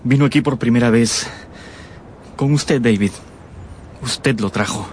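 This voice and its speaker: A man's voice: mid-range voice, measured pace, darkish tone, a little hiss or hum, lightly acted. An actor in a radio drama playing a decent working man, wounded and bitter but respectful, speaking emphatically.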